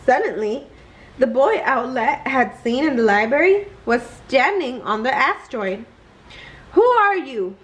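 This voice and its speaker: A woman's voice: sits high, expressive, measured pace, rough recording. A woman reading a children's bedtime story aloud, expressively and carefully. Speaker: storybook reader.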